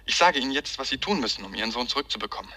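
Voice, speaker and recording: An actor playing a cold, menacing male kidnapper with a husky voice, giving curt orders over the telephone in a radio crime drama. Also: mid-range voice, lively intonation, racing, sharp tone. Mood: cold threat.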